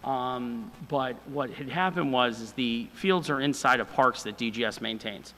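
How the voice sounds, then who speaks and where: A man with a loud voice, a city department official, explaining a proposal to the council in a repetitive, explanatory way. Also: medium pitch, normal speed, long reverb tail, a little hiss or hum, low-quality recording. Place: council chamber.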